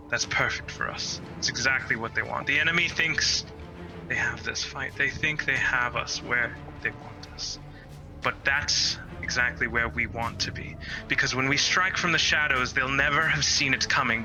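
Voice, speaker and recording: A man's voice: mid-range voice, poor audio. Male tabletop player, music behind. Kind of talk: in-character role-play speech and table banter. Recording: prominent music under the voice.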